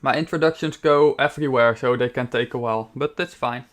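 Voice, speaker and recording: Chill young guy streaming, mid pitch, easygoing chat, laughs a lot. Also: fairly quick. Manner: laid-back, laughs often. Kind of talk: casual game stream chat. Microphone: desktop mic.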